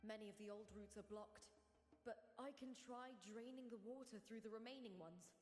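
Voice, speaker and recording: An actress playing an earnest, resolute young adventurer in a fantasy video game, speaking in a measured, solemn way. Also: female voice, on the high side, a little mellow, reverberant, big-room sound, soft background music, close to an everyday voice. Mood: solemn, thoughtful. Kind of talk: scripted video game voice acting.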